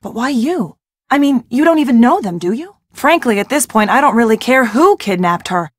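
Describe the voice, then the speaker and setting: A woman's voice: on the high side, animated, normal speed, no room sound, clean studio sound. An actress playing a spirited, earnest young woman in a video game, a loyal and anxious friend who is wry and plucky.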